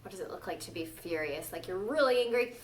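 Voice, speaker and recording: A woman's voice: on the low side, expressive, quick pace, slight background noise. A woman reading a story aloud to young children, playfully stopping to ask them questions and exclaim. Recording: distant microphone.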